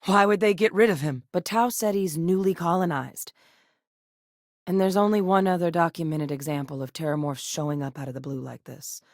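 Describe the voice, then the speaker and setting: An actress playing an earnest, guarded military scientist in a space video game, speaking in a measured, articulate, serious way. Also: female voice, lowish voice, fairly even intonation, no room sound, studio quality, lightly acted. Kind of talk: video game character voice lines.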